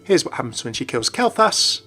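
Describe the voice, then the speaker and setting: A man's voice: mid-range voice, sing-song, fairly quick, pushed and bright, breathy edge. A man hosting a video game channel, reading a scripted explanatory narration. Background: background music.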